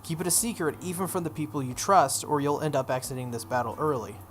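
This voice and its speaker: Man reading as a brisk, guarded teenage girl. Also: fairly high pitch, a bit bright, natural voice. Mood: guarded, cautioning. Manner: matter-of-fact, cautioning. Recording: noticeable background noise.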